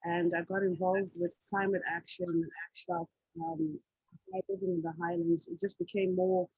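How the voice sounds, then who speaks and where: Host on a video call: woman from Zimbabwe, husky mid-pitched voice, halting, filler-heavy delivery, dry sound. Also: flat delivery, a little mellow, no echo, dull audio. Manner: hesitant, many fillers. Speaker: host and artist. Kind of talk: casual video call talk.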